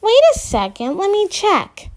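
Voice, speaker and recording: A woman reads a wry teenage girl with a dry, teasing way of talking that tips into fluster when she slips up. Her voice runs high and lively, slow and deliberate in its timing. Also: exaggerated voice.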